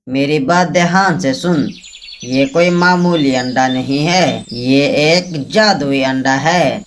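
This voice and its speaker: A man's voice: a little high, slight background noise. An actor playing a confident, boastful male magician parrot with a commanding manner in a children's cartoon. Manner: commanding, self-assured, measured. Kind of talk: Hindi children's cartoon dialogue. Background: faint music.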